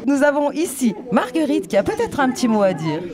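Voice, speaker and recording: A woman's voice: sits high, sing-song, a little fast. A woman leading a care home show, introducing the performers in a playful, teasing way. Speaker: care home activity leader hosting the show. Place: care home common room. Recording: crowd noise behind the voice. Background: audience of residents.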